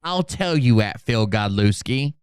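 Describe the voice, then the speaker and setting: A man doing an over-dramatic mock reading as a pompous, indignant patriot leader, with drawn-out theatrical delivery. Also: mid-range voice, expressive, clear, resonant tone, dry recording, studio quality, lightly acted. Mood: self-important. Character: overdramatic male self-styled patriot leader, pompous and indignant.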